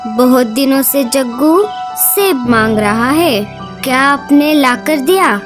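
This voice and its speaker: A woman voicing a sarcastic, scolding female bird in a children's cartoon, nagging and sharp-tongued. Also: sits high, lively intonation, slow, over music. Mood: scornful scolding.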